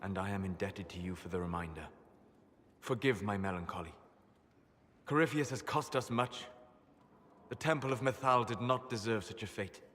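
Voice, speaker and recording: An actor playing a wise, aloof male elf mage in a game cutscene, measured and soft-spoken. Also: lowish voice, natural voice. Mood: melancholy. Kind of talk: video game cutscene dialogue. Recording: game audio with long reverb, faint ambience.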